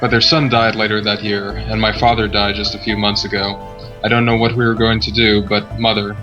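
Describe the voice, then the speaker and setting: A man's voice: fairly low pitch, lightly acted. A man voicing a proud, bitter young nobleman from a poor family, read formally and solemnly from a visual novel. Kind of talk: dramatic reading of visual novel letter. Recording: dull audio, music clearly behind.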